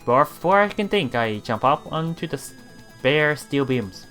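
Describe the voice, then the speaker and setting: A streamer reading visual novel text aloud in a halting way, adding casual commentary over game music. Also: medium pitch, lively intonation, a bit bright. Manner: halting reading, often repeating broken words. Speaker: let's player streamer.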